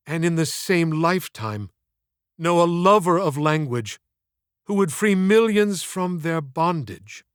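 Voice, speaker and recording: A man's voice: on the high side, smooth, dry recording, clean studio sound. A man reading scripted audiobook narration in an earnest, literary, theatrical manner.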